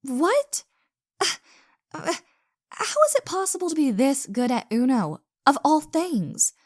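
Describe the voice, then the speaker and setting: A woman's voice: high voice, very animated, a little slow, no room sound, studio quality. A woman acting a caring, flustered young woman with a crush in an intimate roleplay audio drama, speaking hesitantly and earnestly with stammers. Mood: playfully exasperated.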